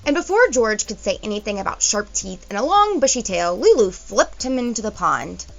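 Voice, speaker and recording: Expressive woman reading aloud, creaky voice. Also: medium pitch, moderate pace, dull audio, faint music behind. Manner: expressive storytelling, explains to young listeners. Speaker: teacher reading aloud to children. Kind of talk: children's picture book read-aloud with asides.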